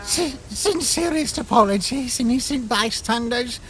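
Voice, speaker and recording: A dramatic, earnest young skeleton whose pitch swings widely. His wording is formal and theatrical, in cartoon comic dub style over soft music. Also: expressive, unhurried, full character voice, poor audio. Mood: apologetic. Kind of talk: animated comic dub acting.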